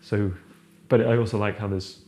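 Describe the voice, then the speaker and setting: A young architect chatting casually while showing a room in a house, rambling with many fillers and restarts. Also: male voice, fairly low pitch, fairly even intonation, moderate pace, warm tone. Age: young adult. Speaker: architect guest. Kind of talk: casual chat, house tour. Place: large open-plan house living room, indoors.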